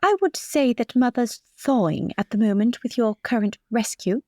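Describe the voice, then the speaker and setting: A poised high-society lady, acted by a woman in a tabletop game. Her voice is fairly high and dry, with refined, formal phrasing and a patronising, imperious edge. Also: lively intonation, normal speed, mellow, dry recording, natural voice. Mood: gracious, composed. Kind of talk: improvised tabletop roleplay.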